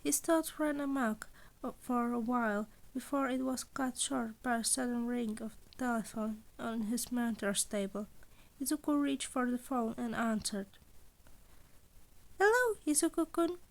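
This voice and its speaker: A woman's voice: a little high, fairly even intonation, normal speed, creaky. A woman reading a story aloud as an audiobook narrator.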